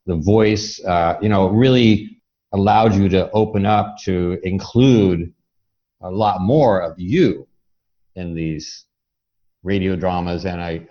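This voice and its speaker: A man hosting an interview in a casual, reflective style, halting and thoughtful as he affirms what his guest has shared. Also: low-pitched, darkish tone. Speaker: podcast host. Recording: dull band-limited lo-fi call audio.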